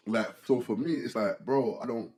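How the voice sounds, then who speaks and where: A man, co-hosting a casual podcast chat, animated, often saying "bro" and "like" and restarting his sentences. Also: medium pitch, moderate pace, a loud crowd. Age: 20s. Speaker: podcast co-host.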